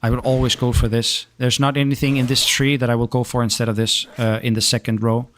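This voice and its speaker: A young man from Denmark with a Danish accent, chatting casually while streaming a game and answering viewer questions, often stopping to restart his sentences. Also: mid-range voice. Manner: halting, restarts often, relaxed. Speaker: gaming streamer.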